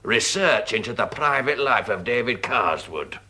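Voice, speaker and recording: An actor playing a chummy, crafty private enquiry agent with a Northern English accent and chatty, hesitant, colloquial speech in a radio detective drama. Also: male voice, medium pitch, expressive, a little slow, bright, forceful tone. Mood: sly, confiding.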